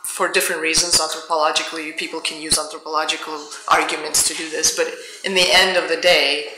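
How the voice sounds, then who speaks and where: A woman's voice: low voice, flat delivery, quick pace, pushed and bright. A middle-aged woman from the Soviet Union, answering audience questions as a guest author in a reflective, searching way. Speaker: author and university teacher, guest speaker. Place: indoor public event room. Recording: echoey room, faint background noise, clicks.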